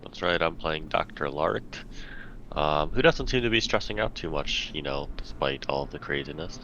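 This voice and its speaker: Very deep-voiced man, dull microphone. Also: lively intonation, normal speed, gravelly, sharp tone. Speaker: player on a tabletop game stream. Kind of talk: casual chat. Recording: dull lo-fi microphone with faint noise.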